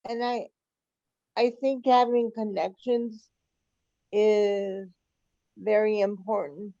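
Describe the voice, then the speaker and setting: A woman speaking slowly with pauses between words on a video call. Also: mid-range voice, little pitch movement, warm tone, no room sound, dull audio.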